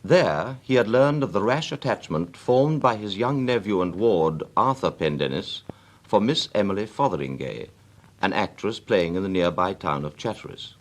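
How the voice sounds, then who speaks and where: A man's voice: low-pitched, expressive, normal speed. A man with a British accent narrating a scripted period story for radio drama as a literary storyteller.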